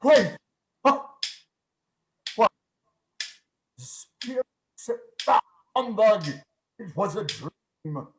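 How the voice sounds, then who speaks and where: A man's voice: high-pitched, very animated, drawn-out words, long pauses, exaggerated voice. A man playing a grumpy, haunted old miser in a radio play, heard over a video call. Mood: startled, muttering. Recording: noisy video-call audio.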